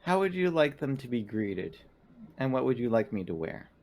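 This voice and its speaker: A man voicing a polite female android assistant in a tabletop game, speaking in precise, measured, formal phrases. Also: medium pitch, very animated, darkish tone, pitched above the performer's own voice.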